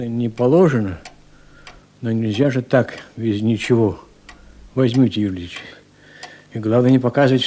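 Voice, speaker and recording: A man's voice: mid-range voice, a little mellow, slight background noise, lightly acted. A man playing a kindly older policeman in a radio drama, folksy, plain-spoken and a little fussing. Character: kindly, homely old policeman on night duty. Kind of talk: Soviet radio drama acting.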